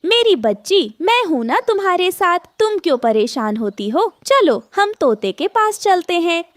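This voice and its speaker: Woman as a high-voiced worried mother bird. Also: very animated, a little slow, dry recording, clean studio sound, exaggerated voice. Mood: comforting. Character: worried, gentle mother sparrow.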